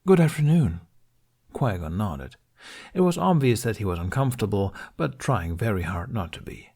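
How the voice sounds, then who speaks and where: A man's voice: fairly low pitch, sing-song, moderate pace, a little mellow, slightly rough. A man reading fiction aloud as a scripted story narration. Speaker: audiobook-style reader of fan fiction.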